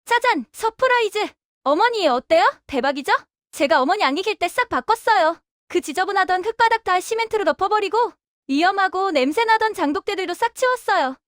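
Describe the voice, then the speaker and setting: A woman's voice: very high voice, expressive, fairly quick, a bit bright, dry recording, studio quality. A woman playing a brash, chirpy, self-satisfied daughter-in-law in a family audio drama, breezy, tactless and dismissive. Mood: gleeful, boastful.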